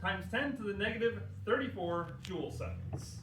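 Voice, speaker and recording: A man performs a dramatic reading in a theater, his voice high and very animated. He moves haltingly through the text with mock-dramatic weight and deliberate pauses. He is heard from far off in the room. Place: indoor theater, live performance. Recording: noisy, echoey theater, recorded from far off.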